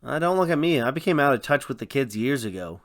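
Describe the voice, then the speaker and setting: A male co-host trades comic banter on a radio show. His mid-pitched voice moves up and down with lively intonation. The recording is dry. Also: fast, no echo.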